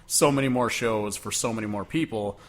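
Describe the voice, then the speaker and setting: A man's voice: lowish voice, fairly quick. Rambling young man chatting about music. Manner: rambling, many "like" fillers. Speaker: podcast co-host. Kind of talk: casual chat.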